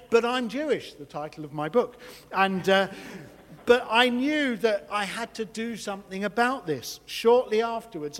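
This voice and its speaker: A man from England, an author, delivering a prepared testimony in a fluent, measured way. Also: high voice. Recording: long hall reverb.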